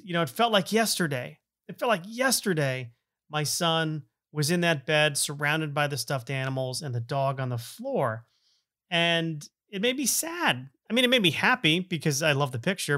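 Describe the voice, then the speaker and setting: A man's voice: a little high, fairly bright tone. A middle-aged man hosting a podcast, talking informally and emphatically, often repeating phrases for effect. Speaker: podcast host. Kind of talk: conversational podcast intro and interview.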